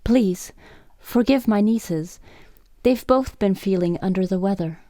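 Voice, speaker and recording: Woman acting a gracious, mellow-voiced innkeeper. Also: medium pitch, lightly acted. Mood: soothing. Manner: courteous, measured, formal. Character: gracious, attentive Japanese innkeeper woman, formally polite. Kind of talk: radio play acting.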